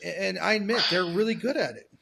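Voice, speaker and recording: A man's voice: sits high, fast, gravelly, pushed and bright, slightly rough. A man in his forties co-hosting a casual podcast, blunt and profane, rambling with frequent restarts. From United States. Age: 40s. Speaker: podcast co-host. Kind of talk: casual political chat.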